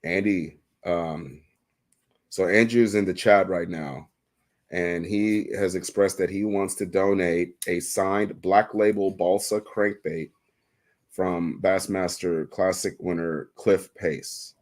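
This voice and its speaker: A man with a very deep, low voice hosts a laid-back livestream. He chats loosely into a desk mic, jokes around and laughs easily. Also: fairly even intonation, takes it slowly. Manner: chatty, laughs often, loose and joking. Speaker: livestream host. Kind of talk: casual livestream chat. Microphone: desk microphone.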